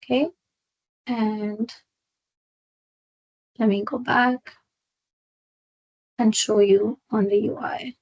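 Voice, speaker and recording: A woman presenting a live software walkthrough as a trainer, explaining step by step in a halting way. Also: fairly high pitch, steady tone, vocal fry, slightly rough, no echo, dull audio. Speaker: software trainer hosting a webinar. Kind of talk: live screen-share product walkthrough.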